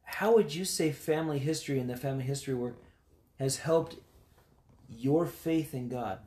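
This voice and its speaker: An interviewer who asks gentle, open questions and leads a life-story conversation along. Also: medium pitch, normal speed. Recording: echoey room.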